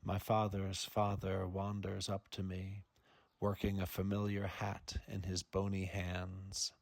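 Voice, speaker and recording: A man reading his own poem in measured, deliberate phrases. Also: low voice, no room sound. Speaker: poet reading own work. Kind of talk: poetry reading.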